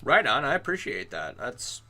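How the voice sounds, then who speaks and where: A man's voice: medium pitch, very animated, moderate pace, bright, forceful tone. A middle-aged man chatting casually on a livestream while he works on chainmail, greeting and answering his viewers. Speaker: chainmail maker streaming live.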